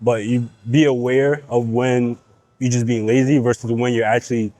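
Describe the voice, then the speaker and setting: Young guy in the gym, nasally from being sick, blunt pep talk, mellow low tone, dry. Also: little pitch movement, moderate pace, dry recording. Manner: blunt, profane, pep-talking. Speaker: fitness vlogger. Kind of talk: casual gym vlog talk.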